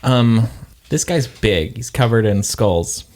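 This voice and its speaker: A man playing a tabletop game, measured and deadpan. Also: mid-range voice. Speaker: player. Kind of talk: casual tabletop play.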